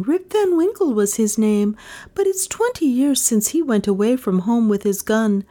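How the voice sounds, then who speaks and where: A woman's voice: high-pitched, warm tone, pitched above the performer's own voice. A woman voicing a plain-spoken, wistful young mother from a village in a storybook.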